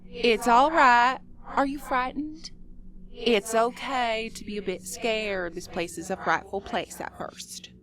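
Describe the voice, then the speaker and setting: A woman voicing a sly, soft-spoken female spider from another world, gentle and wheedling. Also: fairly high pitch, takes it slowly. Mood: soothing. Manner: gentle, wheedling, playful then hushed. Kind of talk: horror story voice acting.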